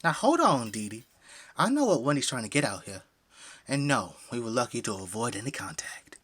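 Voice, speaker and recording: A man's voice: mid-range voice, big pitch swings, a little fast, fairly bright tone, breathy edge. Guy voice acting a friendly southern american dad, super folksy and welcoming, voice goes all over, audio play. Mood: placating. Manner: effusive, folksy, hospitable. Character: friendly, folksy middle-aged family man and father.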